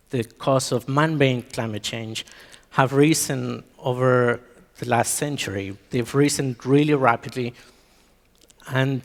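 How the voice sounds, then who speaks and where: A man from Mexico giving a conference talk on a stage microphone, hesitant with many ums and restarts. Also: medium pitch. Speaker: conference speaker. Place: conference hall. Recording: reverberant hall.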